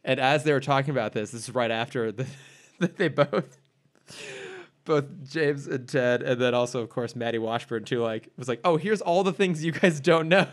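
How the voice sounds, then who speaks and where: A young man co-hosts a casual podcast, talking into a podcast mic at an ordinary pitch. He teases his co-host and breaks into laughter often. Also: moderate pace. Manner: playful, laughs often, jokey teasing.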